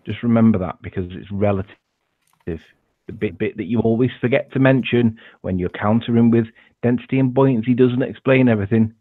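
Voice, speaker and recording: A man's voice: medium pitch, fairly quick, no room sound. A man lecturing insistently in a monologue, heard from a played clip. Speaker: video maker being critiqued. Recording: tinny phone-like played clip.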